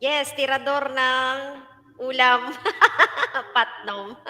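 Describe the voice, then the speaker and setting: A woman's voice: high-pitched, fairly even intonation, extremely slow, sharp tone. A woman co-hosting a livestream, reading out viewer comments and giggling, switching between English, Tagalog and Bisaya. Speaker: livestream co-host. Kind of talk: casual livestream chat. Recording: long reverb.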